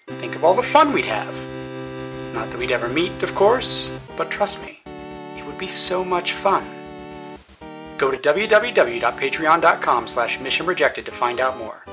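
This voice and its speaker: A man's voice: on the high side, very animated, normal speed. A man narrating scripted show credits as an announcer, in an arch, playfully formal manner. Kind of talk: scripted credits narration with tongue-in-cheek patron pitch. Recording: music behind the voice. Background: plucked guitar music.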